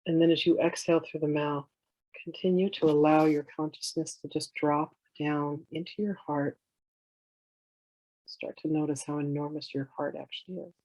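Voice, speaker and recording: A meditation teacher guiding in a soothing, unhurried way, with gentle instructions in drawn-out phrases. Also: medium pitch, level tone, no echo, lo-fi sound. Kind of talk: guided meditation, slow instruction.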